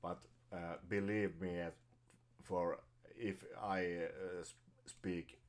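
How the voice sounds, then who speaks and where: A middle-aged man with a Finnish accent, teaching jazz guitar at home in halting, hesitant English full of fillers and restarts. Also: low voice, steady tone. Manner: halting, searching for words, many fillers and restarts. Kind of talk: casual guitar lesson. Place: small bedroom at home. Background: his own jazz guitar playing.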